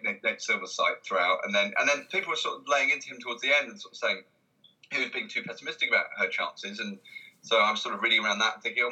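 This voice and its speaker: Man, very bright voice, hesitant rambling talk. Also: fairly low pitch, poor audio. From Britain. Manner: hesitant, rambling, self-interrupting delivery. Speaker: interview guest, comedy writer. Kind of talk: casual conversational interview.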